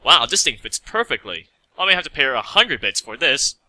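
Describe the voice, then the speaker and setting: A man's voice: medium pitch, sing-song, a little fast, sharp tone, no echo, low-quality recording. A man playing a polite, slightly awkward stranded mechanic in a story reading, with a hesitant manner. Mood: polite, unsure.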